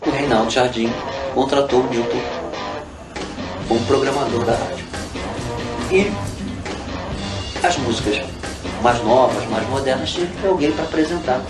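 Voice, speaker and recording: A man's voice: medium pitch, moderate pace, pauses often. Brazilian man telling old stories in an interview, kinda far from mic, echoey, music behind. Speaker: interviewee recalling radio history. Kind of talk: spontaneous anecdotal recollection. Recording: roomy, distant interview with music.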